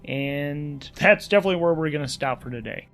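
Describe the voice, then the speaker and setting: A man's voice: a little high, fairly quick, a bit bright. A man narrating his solo tabletop role-playing game, thinking aloud in a conversational, musing way as host and player.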